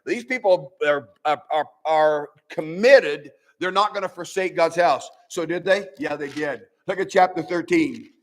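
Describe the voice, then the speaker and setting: Nasal older pastor, higher voice. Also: male voice, unhurried, hardly any pauses. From American Midwest. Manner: animated, folksy preaching with many restarts. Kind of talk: sermon. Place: church.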